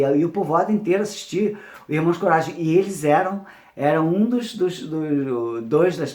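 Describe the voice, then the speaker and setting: Brazilian guy chatting like a storyteller, voice a bit high, repeats himself, really echoey room. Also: darkish tone. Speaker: presenter, music producer. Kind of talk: informal talk on music history. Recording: roomy, echoing sound.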